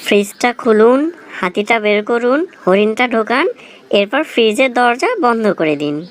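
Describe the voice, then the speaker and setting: High-voiced deadpan job candidate, played by a woman. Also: measured pace, noisy background. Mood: matter-of-fact. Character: cheeky, literal-minded job candidate in a comic cartoon. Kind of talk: cartoon comedy dialogue.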